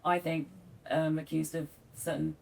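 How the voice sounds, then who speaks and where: A woman in the audience talking quickly and hesitantly, hedging with a lot of "I guess". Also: flat delivery, vocal fry, darkish tone. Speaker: audience member, strategy consultant. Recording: distant mic in a room with faint rumble.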